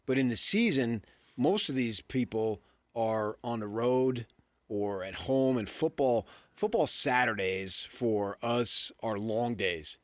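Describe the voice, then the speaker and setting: A man's voice: medium pitch, lively intonation, slow, a bit bright, dry recording. An American man co-hosting sports talk radio, speaking casually in rambling anecdotes and asides.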